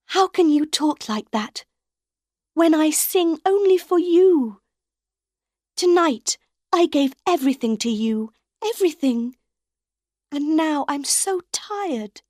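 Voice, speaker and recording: A woman reading a story aloud and voicing a tired, frightened young female opera singer in a high, pleading voice. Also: animated, takes it slowly, pauses often, darkish tone, dry recording, clean studio sound.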